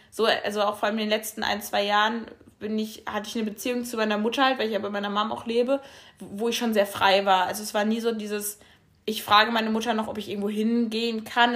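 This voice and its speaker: A young woman talks casually on a podcast, thinking aloud as she goes. She uses lots of fillers and works her way toward each point. Her voice sits at a middle pitch. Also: fairly bright tone. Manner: thoughtful, searching, many fillers. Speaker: podcast co-host. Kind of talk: casual chat.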